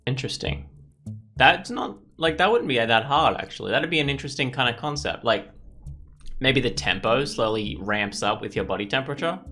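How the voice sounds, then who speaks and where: A man's voice: mid-range voice, expressive, brisk, bright, forceful tone. A man hosting a casual let's play, commenting in an informal, rambling and opinionated way. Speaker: gaming video host. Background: faint game music.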